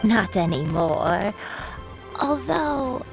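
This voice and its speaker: A woman's voice: mid-range voice, big pitch swings, very slow, vocal fry, heavily acted, rough recording. A woman voicing a forgetful, dreamy grandmother who rambles wistfully, with faint game music behind.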